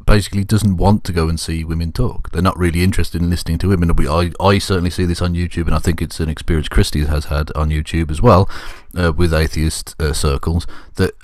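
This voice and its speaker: Low-voiced, blunt male co-host. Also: steady tone, fast talker, few pauses, darkish tone, no room sound, studio quality. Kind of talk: casual panel commentary.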